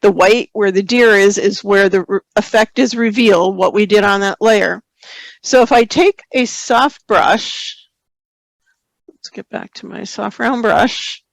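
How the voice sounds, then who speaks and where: A woman hosting a software webinar, slightly hoarse, explaining steps in a relaxed way as she works and reading out viewer questions. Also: mid-range voice, unhurried, no room sound, poor audio. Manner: relaxed, explains while working, reads viewer questions aloud. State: slightly hoarse, clearing throat. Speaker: photography webinar host. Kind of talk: screen-share software tutorial.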